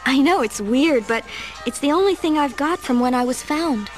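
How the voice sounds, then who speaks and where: Radio-play heroine: young, polite, sincere, high-pitched. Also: female voice, lively intonation, normal speed, faint music behind. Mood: earnest. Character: polite, earnest young woman scientist.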